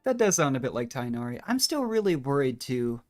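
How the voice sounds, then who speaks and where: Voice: mid-range voice, big pitch swings, fairly quick, darkish tone. A game streamer giving casual, chatty reactions and commentary on a video game, sounding amused.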